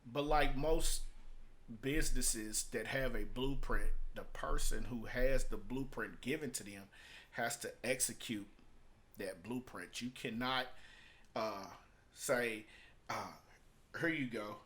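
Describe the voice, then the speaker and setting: A man's voice: mid-range voice, slow pace, a bit bright. A man talking casually and off the cuff as he reviews and explains, in an emphatic, repetitive, colloquial way. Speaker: vlogger reviewing a business book.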